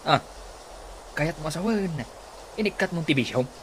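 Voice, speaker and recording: Man acting a high-voiced, lively Ilocano layabout son. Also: normal speed, a little hiss or hum. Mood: teasing banter. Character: Fredo, hard-drinking idle grown son, bantering and whiny. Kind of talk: Ilocano radio comedy drama acting.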